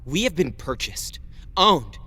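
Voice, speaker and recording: A man's voice: on the high side, very animated, takes it slowly, bright, forceful tone, breathy edge, heavily acted. An actor playing an exasperated, protective young man in an audio drama, speaking bluntly and informally. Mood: angry, bitter.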